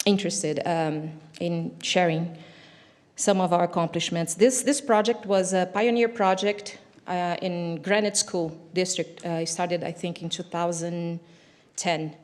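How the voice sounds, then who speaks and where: Low-voiced Brazilian woman addressing a meeting. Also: a little mellow. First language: Portuguese. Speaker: English teacher, public commenter. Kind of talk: public comment speech at a council meeting.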